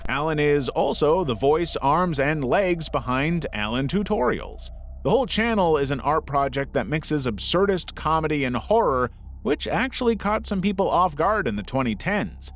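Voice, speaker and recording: A man's voice: a little high, expressive, normal speed. A man narrating a scripted documentary-style video essay as its narrator.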